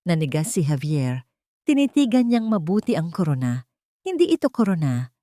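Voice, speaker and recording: Woman telling a drama story, low voice, dry close sound. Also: a little mellow, no echo. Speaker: narrator. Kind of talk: scripted audio drama narration.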